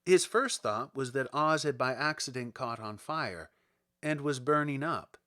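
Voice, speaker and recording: A man reading a storybook aloud as narrator, in an expressive, deliberate manner. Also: medium pitch. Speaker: audiobook narrator.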